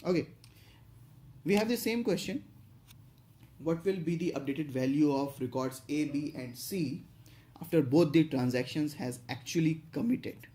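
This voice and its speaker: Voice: medium pitch, measured pace, pauses often, dark tone. An instructor narrating an explanatory tutorial, going step by step with some hesitation and repetition.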